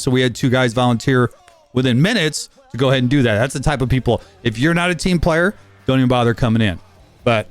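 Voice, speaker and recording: A man in his fifties giving a rambling, casual update on his gaming channel, talking fast with frequent fillers and restarts. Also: mid-range voice, no room sound. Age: 50s.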